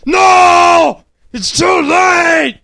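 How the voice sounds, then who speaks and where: Dying dad in a silly storybook, super high and yelling every line, dragging words out all desperate. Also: male voice, creaky, full character voice. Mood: alarmed. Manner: shouting in drawn-out, overwrought cries. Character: dying father, wounded, desperate, melodramatic, urging his son. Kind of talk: over-the-top comic storybook reading.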